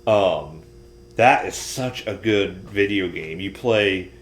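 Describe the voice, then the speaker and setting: A man's voice: sits low, slow, a little hiss or hum. A man talking casually as a podcast co-host, chatting about entertainment. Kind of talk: casual chat about games and shows. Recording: roomy, echoey room.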